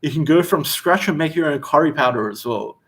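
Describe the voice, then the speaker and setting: A man's voice: fairly high pitch, fairly quick. Chatty Australian man hosting a livestream. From Western Australia. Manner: relaxed and chatty, reads viewer questions aloud. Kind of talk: casual livestream Q&A. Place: seated indoors.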